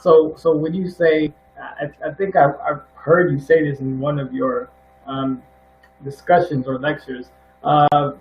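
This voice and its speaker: A man's voice: fairly high pitch, little pitch movement, a little slow, a little hiss or hum. Hesitant male host on a dull roomy line. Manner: hesitant, many fillers and restarts. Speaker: interviewer, host. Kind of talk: casual interview questions.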